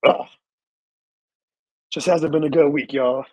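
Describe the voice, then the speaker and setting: A hoarse man who has lost his voice, hosting a live sports show and ranting with emphatic repeated lines. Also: a little high, fairly even intonation, slow, a little mellow, dry recording. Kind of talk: live sports rant and chat reading.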